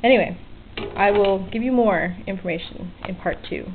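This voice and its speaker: A woman's voice: medium pitch, normal speed. Woman doing an offhand hobby vlog at home, kinda sweary and self-mocking, tinny phone-like sound, bit of hiss. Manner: informal, self-deprecating, mildly sweary. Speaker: hobbyist vlogger showing an electronics project. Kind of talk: casual unscripted show-and-tell. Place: small home work area by an open window. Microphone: handheld camera's built-in mic. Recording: tinny, band-limited camera audio with hiss. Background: faint outdoor weather ambience.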